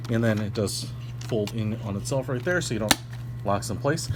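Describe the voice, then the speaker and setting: A man's voice: on the low side, moderate pace. A man giving a casual, ad-libbed product review in an informal, chatty way with a bit of slang. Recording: clearly noisy recording with hum.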